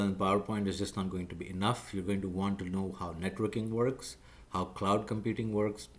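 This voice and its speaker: Low-pitched man, Urdu first language, measured lecturing delivery with frequent fillers. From Pakistan. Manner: measured, lecturing, many fillers and self-corrections. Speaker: video creator explaining job skills. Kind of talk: unscripted talking-head explainer.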